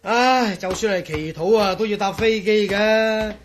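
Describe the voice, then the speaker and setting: A man's voice: high-pitched, unhurried, a little hiss or hum. An actor with a Hong Kong Cantonese accent playing a chatty, good-humored male engineer in a radio drama, joking as he talks. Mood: jovial. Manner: chatty, joking, peppers talk with chuckles and sighs.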